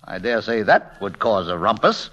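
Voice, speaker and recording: An actor playing a proud, flustered painter husband in 1940s radio comedy, blustering and emphatic, on a muffled old recording. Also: male voice, fairly low pitch, very animated, normal speed, a bit bright. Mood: flustered, indignant. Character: proud, flustered, indignant painter husband claiming secret fame.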